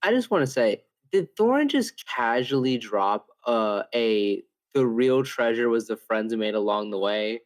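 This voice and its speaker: Male actual-play podcaster, medium pitch, casual table talk and improvised role-play. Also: animated, unhurried, few pauses.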